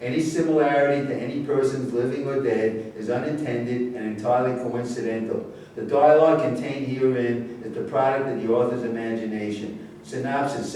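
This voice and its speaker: A man's voice: medium pitch, warm tone. A man narrating a staged play reading, giving scripted introductions and stage directions. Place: indoor room at staged reading. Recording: echoey room, far mic, faint noise.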